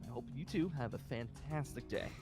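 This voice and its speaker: A man playing a pompous, commanding colonel of a survivor base in an improvised Minecraft zombie roleplay, with halting, theatrical delivery. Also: medium pitch, expressive, vocal fry, over music. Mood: stern, commanding.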